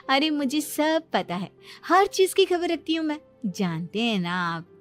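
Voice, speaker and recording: A woman acts as an eager young daughter-in-law who is sure she knows everything. Her voice is high and very animated. She talks in an emphatic, showy way for a cartoon moral story, with music behind her. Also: slow pace, exaggerated voice. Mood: breezy, smug. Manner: emphatic, self-assured, showy. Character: chatty know-it-all young daughter-in-law, eager and boastful.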